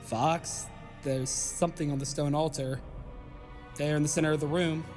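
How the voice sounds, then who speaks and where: A woman's voice: low-pitched, steady tone, moderate pace, close to an everyday voice. A woman playing an exasperated, easily alarmed adventuring companion in an audio drama, speaking emphatically. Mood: wry, wary. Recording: loud music under the voice.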